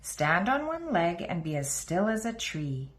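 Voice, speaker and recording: A woman voicing a friendly female rabbit who gently guides children through yoga in a storybook. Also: on the low side, sing-song, measured pace. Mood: calm, instructive.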